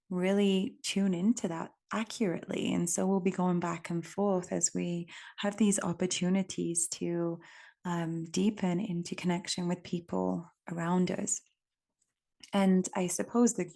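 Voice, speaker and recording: A woman from England with an English accent, hosting a reflective solo podcast in a gentle, unhurried, contemplative way. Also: on the low side, gravelly. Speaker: podcast host.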